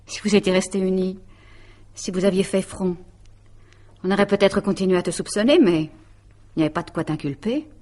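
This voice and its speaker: An actress playing a calculating, possessive middle-aged woman in a radio mystery drama, speaking in a taunting, deliberate, self-satisfied way. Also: mid-range voice, dark tone, natural voice. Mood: smugly triumphant.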